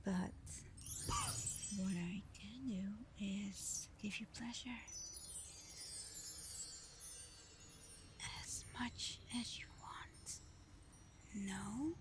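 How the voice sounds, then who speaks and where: Woman acting a slow, sly, flirty genie. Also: mid-range voice, lightly acted. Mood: seductive, teasing. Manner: teasing, seductive, slow and playful, often dropping to whispers. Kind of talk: ASMR roleplay addressed to the listener. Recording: clear background noise.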